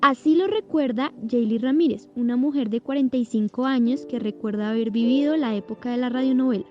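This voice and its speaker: A woman's voice: a little high, fairly quick, muffled recording, faint music behind. A woman reading scripted introductions as a documentary narrator.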